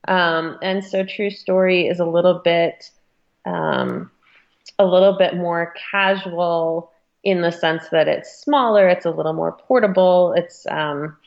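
A woman being interviewed as an editor, answering conversationally in a thoughtful, halting, hedging way. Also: lowish voice, animated, dull audio. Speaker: magazine editor, interview guest.

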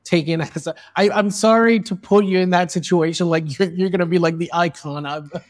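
An earnest man hosts a podcast conversation, his voice sitting a little high for a man. The sound is dry and close, with no room to it. He talks in long stretches and often restarts his sentences. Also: fairly even intonation, brisk, dry recording. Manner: earnest, fluent with frequent restarts. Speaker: podcast host. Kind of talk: conversational interview. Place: in Spain.

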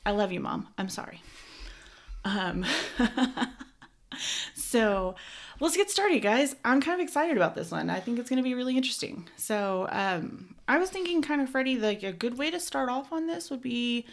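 A woman's voice: fairly high pitch, sing-song, moderate pace. A young adult woman hosting a casual podcast, chatty and giggly, with frequent "like" and "um". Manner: chatty, giggly, many "like" and "um" fillers. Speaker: podcast host.

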